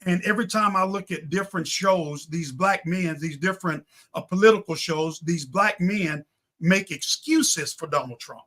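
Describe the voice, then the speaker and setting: A man with a notably high, forceful voice argues his points as a guest on a political talk show. His delivery is emphatic and rhetorical, and he repeats phrases to drive them home. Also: normal speed. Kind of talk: impassioned political discussion.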